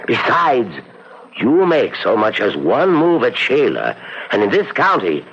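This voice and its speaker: An older Irish countryman, plainspoken and full of caution. His voice rises and falls briskly in radio play style, heard through a muffled old recording. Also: mid-range voice, huge pitch swings, a bit bright, husky, full character voice. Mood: warning. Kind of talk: old-time radio drama acting.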